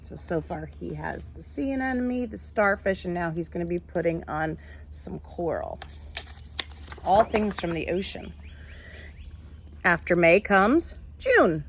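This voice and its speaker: A woman's voice: fairly low pitch, lively intonation, measured pace, a little hiss or hum. A woman teacher reading a picture book aloud to young children, instructing and explaining simply as she goes. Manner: instructive, addresses young listeners with simple questions.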